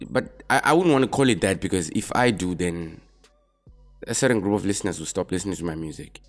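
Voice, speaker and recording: A young man from Zimbabwe, a rapper answering questions about himself in relaxed, casual talk. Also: deep voice, a little fast, faint music behind. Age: young adult. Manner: relaxed, laid-back, drops in "man" often.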